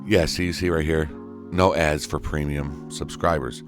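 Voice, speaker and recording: Male gaming tutorial host, low-pitched voice, folksy and casual, faint music underneath. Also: fairly even intonation, moderate pace. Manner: folksy, addresses viewers as y'all and folks. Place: indoors at a gaming computer, voice over screen recording.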